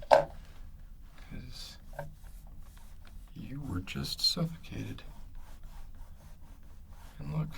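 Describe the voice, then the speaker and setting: A man voicing a smug, menacing gang leader in a soft, intimate ASMR roleplay, speaking low and haltingly with mock kindness. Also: gravelly, a little hiss or hum, heavily acted. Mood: pleased, playful. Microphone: close ear-to-ear ASMR microphone.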